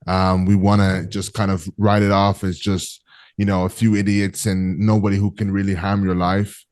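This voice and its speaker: A man hosting a call-in interview show, talking in an earnest, measured way with frequent fillers. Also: sits low, level tone, darkish tone, no echo, clean studio sound. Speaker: host.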